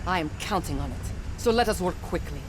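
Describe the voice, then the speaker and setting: An actress plays a businesslike female mercenary in a video game, stern and formal. Her clipped phrases move widely in pitch. Clear background noise surrounds her. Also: lively intonation, a little fast, close to an everyday voice. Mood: determined, businesslike.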